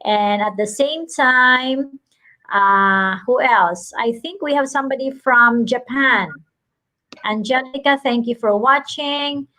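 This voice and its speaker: A woman's voice: high voice, slow pace, sharp tone. Effusive Filipina woman hosting a talk show. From Philippines. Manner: effusive, chatty, gushing greetings. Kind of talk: casual online talk show.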